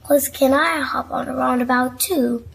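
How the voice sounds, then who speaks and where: A woman's voice: sits high, steady tone, normal speed, gravelly, husky, full character voice, low-quality recording. A woman voicing an eager young girl rabbit in a storybook, cheery and polite.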